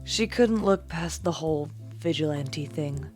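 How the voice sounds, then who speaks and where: A low female voice from an actress as a weary vigilante superhero. She speaks slowly and guardedly, with reserve, as in an audio drama. Music plays underneath. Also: darkish tone. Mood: serious, weary.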